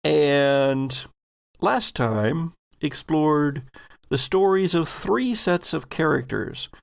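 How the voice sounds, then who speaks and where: A man narrates a tabletop game as its game master and host. He takes his time, setting scenes deliberately in a voice with noticeable fry. The sound is dry and free of room echo. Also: a little high.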